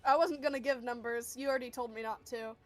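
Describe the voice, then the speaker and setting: A woman's voice: a little high, steady tone, fast, soft background music. A woman playing a tabletop game, chatting casually and narrating what a character feels.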